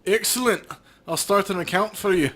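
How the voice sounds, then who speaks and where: Man putting on a smug, high-pitched sleazy cop. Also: normal speed, a bit bright. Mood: smug, wheedling. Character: sleazy grinning corrupt police officer angling for bribes.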